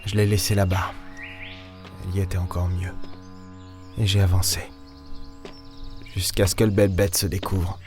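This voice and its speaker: A man's voice: low-pitched, flat delivery, normal speed, pauses often, darkish tone, natural voice. A man playing a world-weary, bitter starship captain in an audio drama, speaking in short, weighty phrases. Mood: sombre, wistful. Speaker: lead character and narrator. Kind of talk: dramatic audio fiction narration. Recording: music behind the voice.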